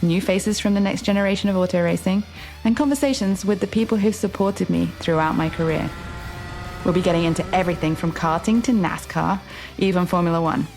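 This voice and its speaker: A woman's voice: fairly low pitch, a little mellow, poor audio. A woman reading a scripted podcast promo as its host. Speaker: podcast host and racing driver. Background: music.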